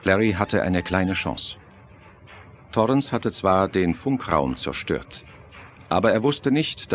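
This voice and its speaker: A male narrator with a low voice tells a suspense story in a scripted, dramatic style. The recording carries faint background noise.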